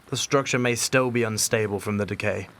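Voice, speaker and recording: An actor playing a calm, mysterious agent who guards time, speaking in a measured, formal, gently wry way in an audio drama. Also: male voice, on the low side, slight background noise. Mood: grave, measured.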